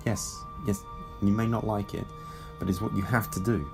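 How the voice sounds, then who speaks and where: A stern, protective Time Lord who once soldiered , acted by a man. He speaks in a lowish, gravelly voice, pressing his point formally and insistently, with music clearly behind him. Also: steady tone, normal speed, rough recording. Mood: pleading, forceful. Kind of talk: audio drama acting.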